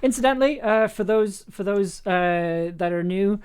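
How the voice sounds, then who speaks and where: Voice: medium pitch, moderate pace, a little mellow, clean tone. An enthusiastic audio producer chatting casually and effusively on a livestream while editing. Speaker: audio drama producer and streamer.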